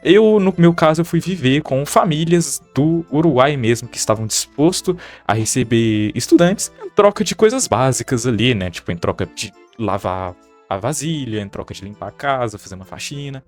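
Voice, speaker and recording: Young brazilian guy, mineiro accent, chatty storytelling vlog, keeps tossing in little tag questions, soft music underneath. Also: mid-range voice, moderate pace. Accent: Brazilian Portuguese, Minas Gerais. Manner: chatty, frequent tag questions, lively bursts. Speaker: vlogger. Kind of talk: casual storytelling to camera.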